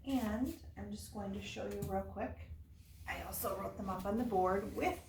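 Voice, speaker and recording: A woman's voice: medium pitch, moderate pace. Woman teacher, roomy distant recording. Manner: simple explanations with frequent questions to the listener. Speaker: teacher of young children. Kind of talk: early-grade lesson and read-aloud to children. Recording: roomy, distant mic, faint noise.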